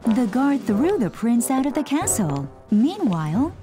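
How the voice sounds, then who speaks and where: A woman narrating an animated children's storybook in a gentle, storytelling way. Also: fairly high pitch, measured pace. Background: music.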